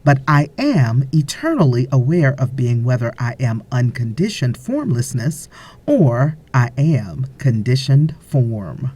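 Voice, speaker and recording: Slow, low-key woman narrator, softly husky. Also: big pitch swings. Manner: measured, deliberate, with frequent pauses. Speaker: audiobook narrator. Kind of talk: scripted narration of a spiritual book.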